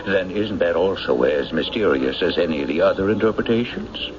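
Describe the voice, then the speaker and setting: A smooth-talking small-town banker in an old radio play. He argues in a measured, insinuating way, with a low voice that swings expressively, on a dull broadcast recording. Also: animated, background noise. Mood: argumentative. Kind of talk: old-time radio drama acting.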